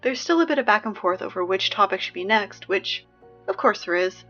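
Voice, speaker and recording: A young woman playing a wry, peppy teenage girl who reads high school announcements in a chatty, sardonic, emphatic way for a scripted audio drama. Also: lively intonation, a little fast, fairly bright tone, highs cut off, faint music behind. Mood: wry, upbeat.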